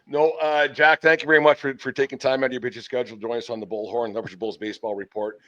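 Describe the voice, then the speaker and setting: A man's voice: medium pitch, level tone, racing, a bit bright. An older man hosting a casual sports podcast in a folksy, rambling, talkative way. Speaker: host of a baseball team podcast. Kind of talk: casual sports interview and chat. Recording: dull, band-limited sound.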